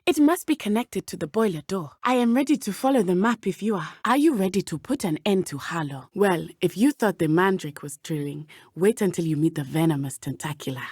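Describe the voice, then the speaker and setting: Brave teenage witch, lowish voice, formal measured phrasing, loyal and earnest, video game dialogue, dry close recording. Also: animated, a little mellow, slightly rough, dry recording. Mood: calm, friendly.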